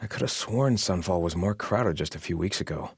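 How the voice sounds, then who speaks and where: An actor playing a zealous, grumbling soldier loyal to a fallen king, delivering video game lines with pompous devotion and gruff scorn. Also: low-pitched, lively intonation, brisk, no room sound, studio quality, exaggerated voice. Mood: solemn, scornful. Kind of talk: video game voice lines, battle barks and muttered remarks.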